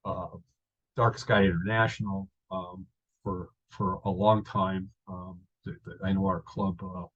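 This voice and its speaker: A middle-aged man from North Dakota walks through his slides, stopping and restarting with frequent ums. His voice sits fairly low. The webinar audio is dull and lo-fi. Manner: hesitant, many ums and restarts. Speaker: guest presenter, astrophotographer.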